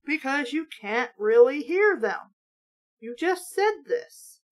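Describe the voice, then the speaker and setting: A woman's voice: high-pitched, very animated, takes it slowly. A woman reading aloud and breaking off for blunt, exasperated, sweary, sarcastic commentary. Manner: casual, sweary. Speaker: host of a book-mocking reading show.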